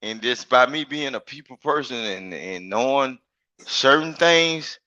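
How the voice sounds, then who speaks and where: Guy with a big forceful voice going on and on in a stream chat, dry dull lo-fi sound. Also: mid-range voice, animated, unhurried, no echo. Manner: rambling, emphatic, repeats himself. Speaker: guest. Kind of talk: casual live-stream chat.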